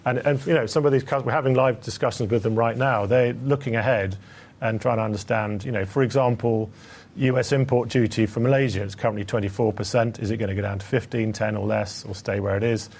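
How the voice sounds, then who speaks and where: A man speaking as a company executive, answering analysts on an earnings call in a hesitant, halting, measured way. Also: medium pitch, steady tone, vocal fry, a little mellow.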